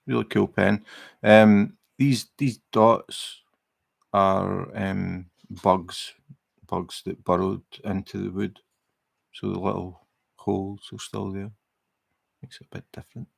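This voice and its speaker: A middle-aged Scottish man chatting casually on a livestream while he crafts, relaxed and rambling, with many fillers and restarts. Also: lowish voice, gravelly, no room sound. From Scotland. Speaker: livestream host. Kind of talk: casual chat while crafting, reading viewer comments.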